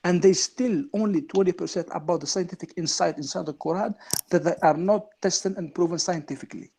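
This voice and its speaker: A man's voice: fairly high pitch, darkish tone, slightly rough. A man whose first language is Arabic, a debate guest who keeps pleading to be allowed to continue, hesitating with many fillers. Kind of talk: heated online panel debate.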